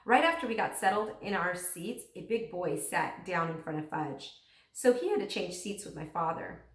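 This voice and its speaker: A woman's voice: fairly low pitch, expressive, normal speed. A woman reads a children's book aloud like a teacher, telling the story expressively and acting out the dialogue. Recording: roomy echo, distant microphone.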